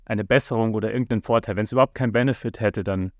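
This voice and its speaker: A man talking calmly and at length on a casual podcast, giving advice. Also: on the low side, no echo. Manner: calm, measured, explains at length with fillers. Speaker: podcast co-host.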